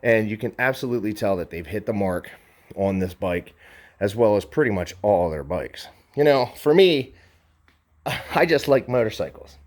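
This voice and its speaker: A man's voice: fairly low pitch, expressive, moderate pace. A man giving a conversational, enthusiastic, unscripted sales walkaround as a motorcycle dealer. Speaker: motorcycle dealer presenting a bike for sale.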